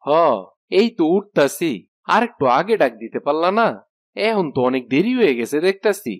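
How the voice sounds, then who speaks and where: A man's voice: on the high side, big pitch swings, normal speed, no echo, clean studio sound. A man voicing an easygoing village husband in a fairy-tale cartoon, with a colloquial Bangladeshi accent and rustic, casual talk. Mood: surprised.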